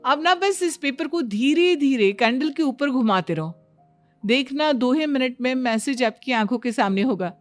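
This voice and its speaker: Know-it-all young bahu for a cartoon story, female performer: higher voice, breezy confidence, explanatory. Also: lively intonation, normal speed, soft background music. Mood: instructive. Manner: self-assured, explanatory. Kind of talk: Hindi cartoon story dialogue.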